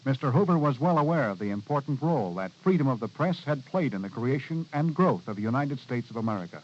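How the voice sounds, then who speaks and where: Old-time radio announcer guy, medium pitch, formal n measured psa read, kinda muffled recording. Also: lively intonation. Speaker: public service announcer. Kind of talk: solemn scripted mid-century radio announcement. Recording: dull vintage radio recording.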